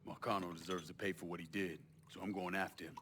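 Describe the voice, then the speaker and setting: A man's voice: low-pitched, flat delivery, quick pace, very noisy, natural voice. An actor playing a cold, commanding man bent on revenge in a video game, terse and menacing. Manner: terse, menacing, matter-of-fact. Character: cold, commanding, vengeful man turned crime boss. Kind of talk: cinematic game dialogue. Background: guitar music.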